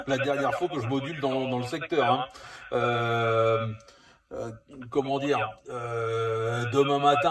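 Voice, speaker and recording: A man's voice: mid-range voice, roomy sound, far from the mic. A man chatting casually as a CB radio operator, rambling and hesitant as he goes. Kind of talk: casual two-way radio chat.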